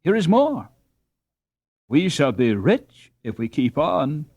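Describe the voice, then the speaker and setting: A male reader voicing an earnest, gentle peasant boy in a storybook reading. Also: fairly high pitch, sing-song, takes it slowly, no echo, pitched above the performer's own voice, exaggerated voice. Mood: resigned. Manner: earnest, solemn.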